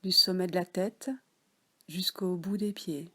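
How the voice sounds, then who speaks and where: A French woman guiding a yoga nidra relaxation session, speaking softly with some words whispered. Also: on the low side, steady tone, slightly rough. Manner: slow, soft, partly whispered guidance. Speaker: relaxation guide.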